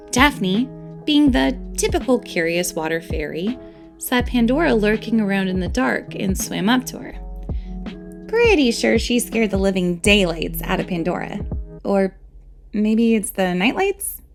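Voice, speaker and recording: Woman playing a chatty, high-voiced eccentric fairy student. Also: expressive, unhurried, creaky, faint music behind. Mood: playful, chatty. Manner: playful, chatty, dramatic storyteller. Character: eccentric nerdy fairy student, excitable gem enthusiast. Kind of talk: amateur voiced roleplay acting.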